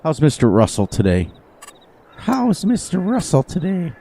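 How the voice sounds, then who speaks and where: A man streaming a farming game live, chatting casually with viewers, his voice a little hoarse. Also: fairly high pitch, huge pitch swings, measured pace, mellow, slight background noise. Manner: rambling, playful, sing-song repetitions. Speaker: gaming livestreamer. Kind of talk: casual live gameplay commentary.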